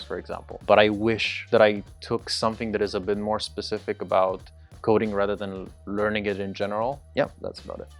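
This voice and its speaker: A young man giving casual answers in an interview. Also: on the low side. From Romania. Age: young adult. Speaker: bootcamp trainee being interviewed.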